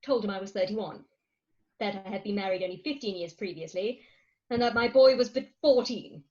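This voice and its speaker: A woman's voice: medium pitch, a little fast, a little mellow, lightly acted. A woman acting a proud, coaxing middle-aged wife in a comic Victorian farce, theatrical and emphatic, on slightly dull online call audio. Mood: pleading, insistent. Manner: theatrical, emphatic, grandly articulate. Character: imperious, coaxing Victorian English wife, thirty-six, proud. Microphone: remote home recording over video call.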